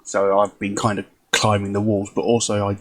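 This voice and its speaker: A man hosting a music reaction video in casual chat, his voice hoarse and croaky, rambling with many ums. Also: on the low side, monotone, normal speed.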